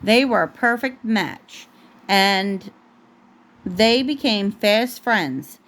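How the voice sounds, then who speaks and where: A woman's voice: mid-range voice, animated, very slow, a bit bright. A woman reading a storybook aloud as a mother to her young child, often breaking off to correct the child. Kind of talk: storybook read aloud at home, with asides to a child.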